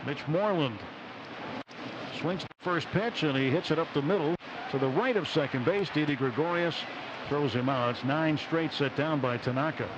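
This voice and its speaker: A man's voice: a little high, normal speed. A man with an American accent calling a baseball game live on radio, play by play, and reading short sponsor spots. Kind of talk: live radio sports commentary with sponsor reads. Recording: dull radio broadcast with loud stadium noise. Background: stadium ambience.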